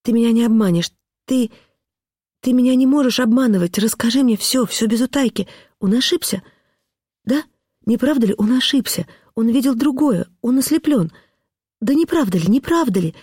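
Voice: animated, normal speed, darkish tone, slightly rough, dry recording, studio quality. A reader doing a distraught, pleading young wife. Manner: pleading and breaking, rising pitch. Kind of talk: audiobook reading of a classic novel.

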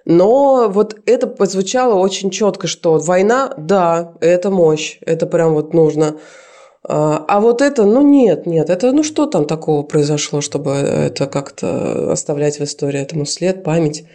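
A woman, a foundation director, speaking as a guest on a radio interview, earnest and hesitant with many pauses and fillers. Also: on the low side, dark tone.

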